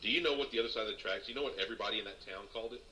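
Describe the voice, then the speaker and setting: A man talking as a guest on a casual podcast, chatty and quick to laugh. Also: lowish voice, sharp tone, low-quality recording. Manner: laughs often, loose and chatty.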